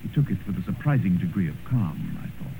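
An actor playing a worldly, cynical gentleman who also narrates a radio drama in a suave, measured, eloquent way. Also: low-pitched, animated. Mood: grave. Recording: noisy, muffled vintage radio recording.

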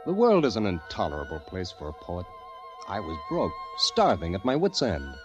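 A florid, penniless poet narrating his diary in vintage radio drama. His lowish voice swoops widely, over clear music and through dull old broadcast sound. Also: huge pitch swings, normal speed. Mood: exultant. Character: penniless, dreamy, theatrical poet in hiding. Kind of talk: 1940s radio acting, diary monologue. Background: prominent music.

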